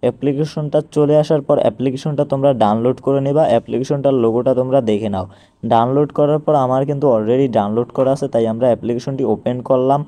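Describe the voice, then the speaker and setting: A man's voice: mid-range voice, mellow. A man with a Bangladeshi accent giving an informal, instructional step-by-step screen walkthrough, repeating each step as he goes. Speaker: tutorial presenter.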